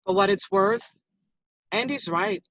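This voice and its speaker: A woman's voice: mid-range voice, measured pace, a bit bright, no room sound. A woman playing an earnest, bookish, conciliatory friend in a play reading, choosing words hesitantly. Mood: earnest, careful. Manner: hesitant, careful phrasing. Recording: dull lo-fi close recording.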